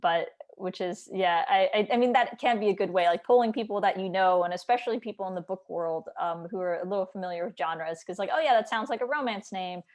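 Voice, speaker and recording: A woman's voice: mid-range voice, quick pace, few pauses, a little mellow. A woman chatting casually and informally, as a writer hosting a book discussion, with "like" sprinkled through her talk. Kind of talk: casual conversational book chat.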